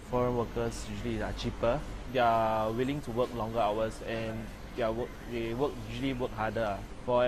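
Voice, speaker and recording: A man's voice: fairly low pitch, background noise. A man, an employer, answering plainly and correcting himself. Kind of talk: interview answer.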